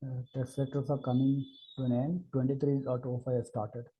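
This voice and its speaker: A man narrating an unscripted technical demo as an engineer, with halting, hesitant phrasing and repeated words. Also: mid-range voice, little pitch movement, breathy edge, narrow, tinny audio. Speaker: engineer presenting a product demo.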